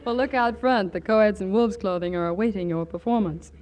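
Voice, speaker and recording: A woman's voice: medium pitch, fairly quick, very noisy, lightly acted. An actress playing a devoted young woman in love, firm and straightforward, in 1940s radio comedy. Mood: encouraging. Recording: old radio broadcast with loud music.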